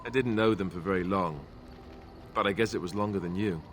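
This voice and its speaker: An actor playing an affable, boyish young warrior who talks in a conversational, sentimental way in a video game. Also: male voice, low voice, brisk, slight background noise, close to an everyday voice. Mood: friendly, reminiscing. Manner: conversational, self-interrupting storyteller. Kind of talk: video game dialogue acting.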